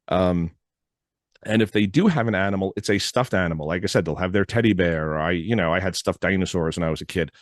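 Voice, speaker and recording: A man from New York hosting a podcast in a casual, rambling monologue, his deep voice hoarse and froggy from a recent illness. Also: no room sound, clean studio sound. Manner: rambling, loose, with frequent "uh" fillers. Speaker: podcast host.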